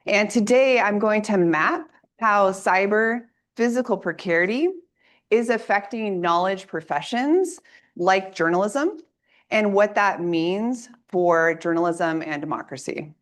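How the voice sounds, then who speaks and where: Raspy-voiced woman giving an academic talk. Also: medium pitch, a little slow. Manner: clear, organized lecturing with audience asides. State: raspy voice from a coming cold. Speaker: assistant professor giving a workshop talk. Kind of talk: academic presentation. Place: lecture room.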